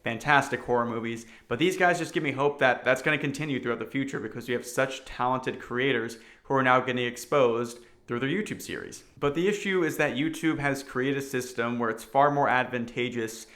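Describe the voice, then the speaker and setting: Guy doing casual youtube style commentary, bright punchy voice, earnest and emphatic, mid pitch. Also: brisk, few pauses. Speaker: video commentary host. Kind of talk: casual opinion commentary to viewers.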